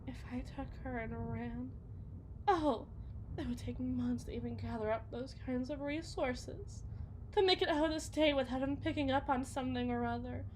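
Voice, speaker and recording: A woman playing an anxious, lovesick suburban wife and mother in an ASMR roleplay, speaking in a halting, emotional way. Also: sits high, darkish tone, a little hiss or hum, natural voice. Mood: anxious, desperate. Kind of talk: ASMR roleplay, dramatic monologue.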